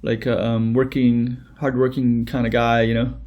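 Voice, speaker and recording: A man's voice: mid-range voice, flat delivery, normal speed, darkish tone. Rambling bearded man reacting casually. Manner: rambling, with many fillers and restarts. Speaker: music reaction video host.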